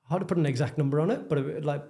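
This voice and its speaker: A man's voice: on the high side, rapid-fire, creaky, mellow. A man with a Geordie accent, a property developer talking candidly and self-deprecatingly as a podcast guest. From northeast England. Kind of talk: casual interview chat.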